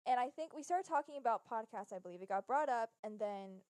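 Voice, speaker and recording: A young woman in her early twenties chatting as co-host of a podcast with her best friend. Her voice sits a little high and she laughs easily. The sound is dry and close. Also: expressive, a little fast, darkish tone, no echo. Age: young adult. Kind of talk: casual chat.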